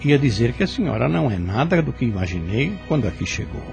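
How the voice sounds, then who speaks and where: An actor playing a courteous, gently flirting farm overseer in a radio soap, speaking in a formal, measured way. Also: male voice, medium pitch, sing-song, vocal fry, faint music behind. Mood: earnest, admiring. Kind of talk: radio soap opera acting.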